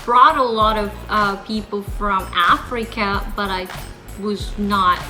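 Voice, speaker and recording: A woman from Colombia with a Colombian accent and Spanish as her first language, chatting casually and excitably to viewers as a vlog host. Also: mid-range voice, slow, faint music behind, rough recording.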